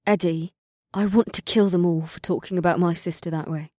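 A woman playing coldly vengeful female fantasy warriors with a flat, dark manner in an audiobook. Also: lowish voice, brisk, no echo, studio quality, close to an everyday voice. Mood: cold anger.

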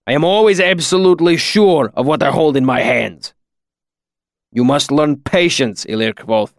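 A man voicing a huge, shaggy master artificer in an audiobook, stern and exacting, speaking slowly and formally with grave deliberation. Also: a little high, creaky, dry recording, studio quality. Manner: slow, grave, formal, oddly ordered phrasing. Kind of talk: audiobook character dialogue.

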